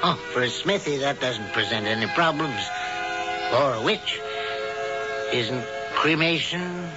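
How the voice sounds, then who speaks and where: An actor playing a courteous, cold-blooded male witch in a 1970s radio drama, speaking in a polite, measured way. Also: mid-range voice, lively intonation, close to an everyday voice. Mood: secretive, calm. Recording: muffled 1970s radio broadcast, music behind.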